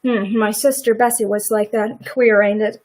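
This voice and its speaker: A woman's voice: mid-range voice, fairly even intonation, moderate pace, darkish tone, close to an everyday voice. A woman playing a rueful, blunt farmer's wife with folksy rural speech in a live radio play. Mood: wistful, uneasy. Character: farmer's wife Mrs. Hale, regretful, blunt, protective neighbour.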